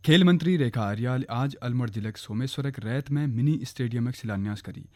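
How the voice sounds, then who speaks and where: A man's voice: mid-range voice, brisk, darkish tone. A man with a Kumaoni accent reading a scripted regional radio news bulletin.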